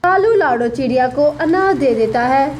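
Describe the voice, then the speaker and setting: A woman storyteller with a high voice reads a children's tale. She is slightly distant from the mic, with faint rain noise behind her. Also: animated, moderate pace. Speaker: narrator. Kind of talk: scripted children's story narration.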